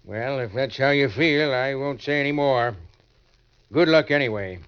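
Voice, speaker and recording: An American actor playing an earnest, stern but well-meaning prison warden in an old-time radio drama, speaking formally. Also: male voice, mid-range voice, normal speed, smooth, close to an everyday voice. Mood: concerned. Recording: dull vintage radio recording.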